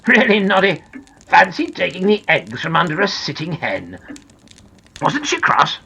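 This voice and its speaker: A woman's voice: on the low side, huge pitch swings, measured pace, bright, forceful tone, slightly rough, heavily acted. A woman voicing a fussy, scolding but kindly male gnome from a children's storybook, exclaiming and reproving, with some background noise. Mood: scolding, relenting.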